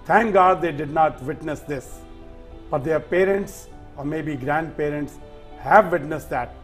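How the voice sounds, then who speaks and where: A man hosting a television interview in a slightly high voice, earnest and deliberate, pausing often. Faint music sits under his speech. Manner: earnest, deliberate, with pauses and fillers. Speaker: host.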